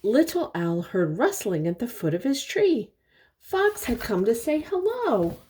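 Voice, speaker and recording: An older woman reading a picture book aloud to young children, pausing to explain things and ask simple questions. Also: on the high side, slightly rough. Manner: expressive, simple explanations and questions for little listeners.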